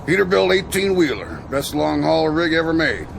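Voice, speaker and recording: An actor playing a friendly, talkative trucker with a laid-back folksy drawl. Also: male voice, fairly high pitch, very animated, moderate pace, gravelly, background noise, heavily acted. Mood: easygoing.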